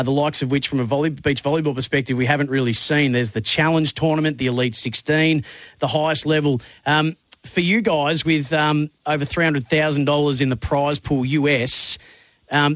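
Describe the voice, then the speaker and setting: Casting: male radio host, eager and upbeat, slightly high, dry studio sound. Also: fairly bright tone, dry recording. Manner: enthusiastic, fillers and long build-up questions. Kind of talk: radio interview.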